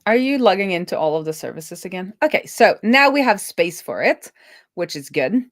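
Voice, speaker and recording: A woman's voice: medium pitch, big pitch swings, moderate pace, dry recording, clean studio sound. A woman live streaming a casual coding walkthrough, talking informally and thinking aloud to herself as she works.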